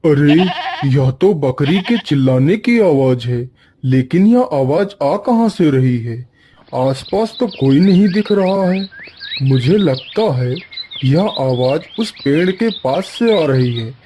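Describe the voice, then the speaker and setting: A man's voice: a little high, expressive, slow, mellow, slight background noise. A man voicing a prowling, curious and boastful wild beast in a cartoon folk tale. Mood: puzzled. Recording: music bed behind the voice.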